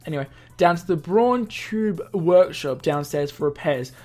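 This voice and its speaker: A man's voice: a little high, animated, unhurried, darkish tone. Man narrating a visual novel, music behind. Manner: stumbles and rereads lines, explains asides. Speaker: let's player reading a visual novel aloud. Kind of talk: game narration with casual asides.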